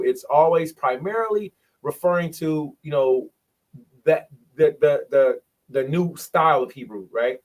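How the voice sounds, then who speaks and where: Animated man teaching from a screen. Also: fairly high pitch, low-quality recording. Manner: animated, repeats words, sounds out syllables. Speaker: bible study presenter.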